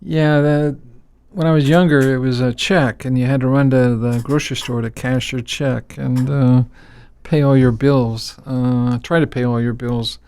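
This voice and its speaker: A man's voice: mid-range voice, fairly even intonation, normal speed, darkish tone, clean tone. A man from Texas hosting a casual interview podcast, who stops to explain words and phrases in a teacherly way. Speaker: podcast host.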